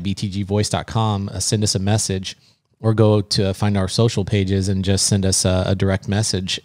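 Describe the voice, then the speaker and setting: Low-voiced man co-hosting a podcast. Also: darkish tone, dry recording, clean studio sound. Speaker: podcast co-host. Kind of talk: casual podcast chat.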